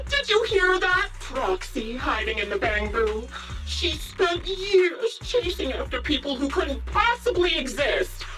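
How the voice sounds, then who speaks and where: A woman's voice: high-pitched, fairly bright tone, far from the mic, heavily acted, poor audio. An actress voicing a cruel, mocking female criminal villain in a video game, taunting theatrically.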